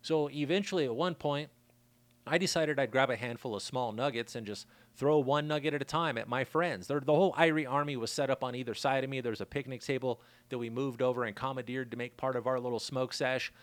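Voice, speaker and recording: A man hosting his podcast in a loose, rambling monologue, his voice raspy from a worn throat. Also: medium pitch, fairly quick, no room sound. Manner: laid-back, loose, chatty with shout-outs. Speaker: podcast host. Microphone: studio mic.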